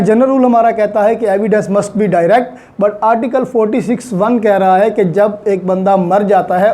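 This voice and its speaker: A man with a Pakistani Urdu accent giving a recorded law lecture, spelling out definitions slowly and deliberately. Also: sits high, warm tone.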